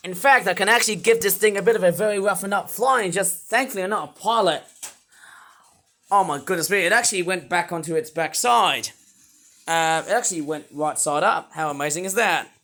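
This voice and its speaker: A man reviewing toys in a rambling, playful vlog, his voice not fully well and breaking into sudden excited outbursts. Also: high-pitched, brisk. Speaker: toy reviewer. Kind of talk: casual toy review vlog.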